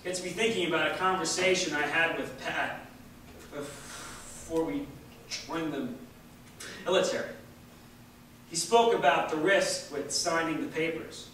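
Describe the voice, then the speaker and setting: A man giving a deliberate, emphatic reading of a written political essay at a public event. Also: fairly high pitch, fairly bright tone. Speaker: reader at a staged public reading. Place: large indoor hall at a public event. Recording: echoey hall from far off, faint noise.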